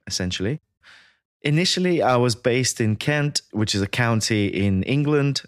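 A man from Latvia, a sound designer, giving measured, explanatory answers as an interview guest. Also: on the low side, clear, resonant tone, no echo, clean studio sound.

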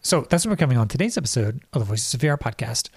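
A man's voice: medium pitch, big pitch swings, very fast, darkish tone, husky, no room sound. A man hosting a podcast, introducing the episode in a crackly voice he is losing, explaining with casual fillers. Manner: explanatory, uses fillers like "like" and "kind of". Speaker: podcast host.

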